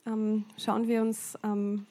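A woman's voice: mid-range voice, completely flat delivery, slow pace, dark tone. A woman interpreting a church service live, phrase by phrase, with frequent hesitation fillers.

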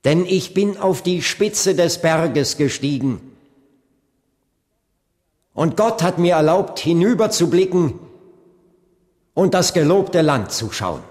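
Slow, solemn preacher in an echoing hall. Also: male voice, fairly high pitch, darkish tone. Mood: solemn, exalted. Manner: measured, grave, rhetorical oratory. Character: solemn male Baptist preacher and civil rights leader addressing his congregation. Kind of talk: German radio play, sermon-like speech. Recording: long reverb of a large hall.

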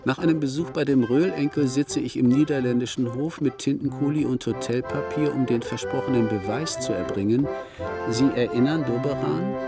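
Male actor, erudite correspondent, radio play monologue, medium pitch, eloquent storytelling, precise phrasing, musical backing. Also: close to an everyday voice. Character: erudite male correspondent spinning elaborate music-history theories. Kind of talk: literary radio play monologue, letter read aloud.

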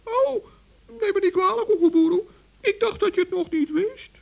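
A man voicing a boastful, naive little forest gnome with a squeaky, chattering voice in a children's radio play. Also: moderate pace, creaky, highs cut off. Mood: sheepish. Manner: chattering, stammering when flustered.

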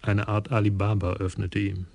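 A man narrating a radio crime play in a measured storytelling manner. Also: lowish voice, flat delivery, creaky.

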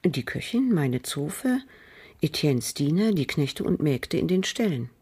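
An actress playing a bitter, cold aristocratic French widow in a radio crime drama, speaking formally and guardedly with a husky, breathy voice. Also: female voice, sits low, big pitch swings, a little mellow, full character voice. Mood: guarded, controlled. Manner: measured, guarded, formal, with pauses. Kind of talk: German radio drama acting.

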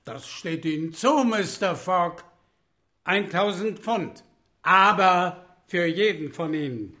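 Stern colonial judge in a radio play, high sharp voice, very formal and officious. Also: male voice, lively intonation, slow pace, full character voice. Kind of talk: German radio play acting.

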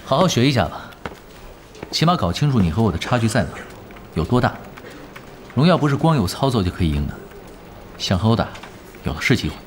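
A man's voice: mid-range voice, big pitch swings, fast, pauses often, a little hiss or hum, natural voice. A man playing a cocky, nonchalant expert gamer in an audio drama, blunt, smug and offhand. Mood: condescending. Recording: music bed under the dialogue.

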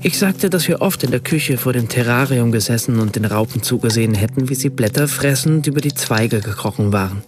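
Male actor as thoughtful writer narrator, man, medium pitch, precise storytelling, radio drama, music behind the voice. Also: brisk, hardly any pauses, close to an everyday voice. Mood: measured recollection. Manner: precise, literary storytelling in reported speech. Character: German writer narrating his Italian summer, observant, measured. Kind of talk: radio play first-person narration.

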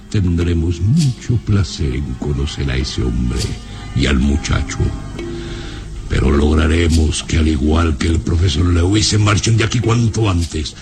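An actor playing a sinister, imperious baron in an old-time radio drama, brooding and contemptuous. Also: male voice, sits low. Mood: impatient, scornful. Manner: imperious, brooding, contemptuous, drawn-out deliberate phrases. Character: sinister, scornful baron, a commanding father. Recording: music under the voice. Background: dramatic music.

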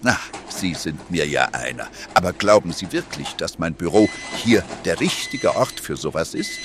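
A self-important music mogul in a comedy radio play, acted by a man. The voice is on the low side and swoops theatrically, greedy and pleased with itself. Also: big pitch swings, normal speed. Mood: curious. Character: smug, greedy male boss of a music publishing house.

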